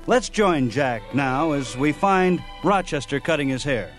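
A man's voice: fairly high pitch, expressive, a little slow. A man hosting a radio program with formal, announcer-like scripted narration. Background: music.

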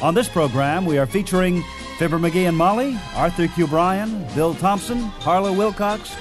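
A man hosting a radio program, reading scripted narration in a measured announcer's delivery. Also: high voice. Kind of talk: scripted narration, old-time radio presentation. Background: music.

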